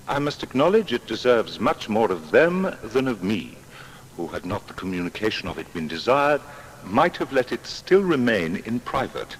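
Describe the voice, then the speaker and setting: An actor playing a modest, learned seventeenth-century scientist in an audio biography, speaking in formal, measured, old-fashioned diction. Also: male voice, lowish voice, slight background noise, rough recording. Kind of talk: dramatised historical biography reading.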